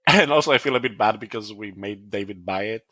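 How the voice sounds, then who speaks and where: A man's voice: fairly low pitch, quick pace, pushed and bright, no room sound, studio quality. A man in his forties co-hosting a podcast, joking and teasing the others in casual chat. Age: 40s. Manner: joking, teasing, self-deprecating. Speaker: podcast co-host.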